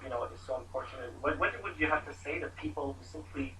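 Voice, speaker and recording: A man's voice: mid-range voice, fast, bright, forceful tone, far from the mic, poor audio. Reporter, male, hesitant delivery, room noise behind. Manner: hesitant questioning with many fillers. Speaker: reporter asking questions.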